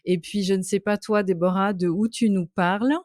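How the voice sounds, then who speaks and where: A woman's voice: mid-range voice, steady tone, normal speed, darkish tone, no echo. Woman hosting, dry sound. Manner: hesitant, many fillers, empathetic. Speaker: podcast host. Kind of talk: interview via video call. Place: hot home office.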